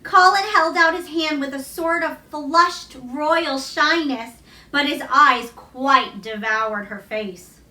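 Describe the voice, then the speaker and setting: Lady reading a kids book out loud, high voice, echoey room, mic a bit far off. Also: slow pace. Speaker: storybook reader. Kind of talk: expressive read-aloud narration of a children's novel. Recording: roomy echo, distant microphone.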